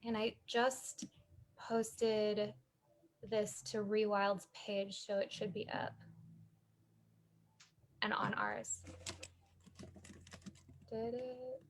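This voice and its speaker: A woman's voice: medium pitch, flat delivery, extremely slow, pauses often, a little hiss or hum. A woman from the San Francisco Bay Area who owns a small business, hosting a live online workshop in easygoing casual chat, often laughing and trailing off.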